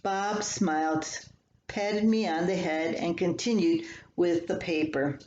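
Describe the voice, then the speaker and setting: An older woman reading her own humorous personal column aloud in a folksy, unhurried storytelling manner. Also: fairly low pitch, fairly even intonation, darkish tone. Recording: echoey room, slightly dull, faint hiss.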